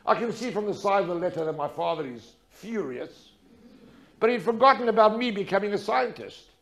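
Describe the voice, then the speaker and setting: Older man storyteller, high-pitched and emphatic. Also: expressive, fairly quick, creaky, fairly bright tone. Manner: dramatic, emphatic repetition with rhetorical questions. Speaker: speaker telling a personal story. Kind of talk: storytelling lecture to an audience.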